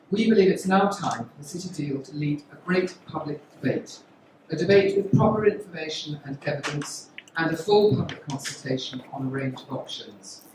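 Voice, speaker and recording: A woman's voice: deep voice, a little hiss or hum. A woman, a local election candidate, closing a formal prepared campaign speech at a public meeting. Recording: echoey hall from far off.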